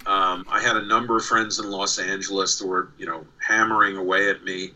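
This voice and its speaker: A man's voice: low voice, little pitch movement, a little fast, sharp tone, poor audio. A man in his sixties from New York with a faint New York accent, telling stories as an interview guest over a video call, with frequent restarts and repeated words. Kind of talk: casual storytelling. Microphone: remote video call.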